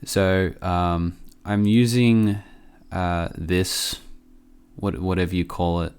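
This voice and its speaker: A man with a cold presents a casual software tutorial in an offhand, halting, low-key way, speaking close to the microphone. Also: fairly even intonation, smooth. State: sick with a cough.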